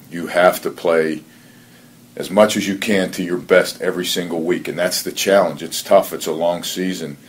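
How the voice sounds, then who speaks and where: A man working as a football coach, giving earnest, measured interview answers on a conference call and often repeating his phrases. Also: low-pitched. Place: conference call, faint noise behind. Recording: noisy conference call audio.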